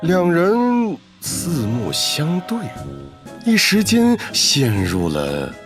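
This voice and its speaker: Slow, highly animated male narrator. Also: music in the background. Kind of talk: scripted dramatic narration.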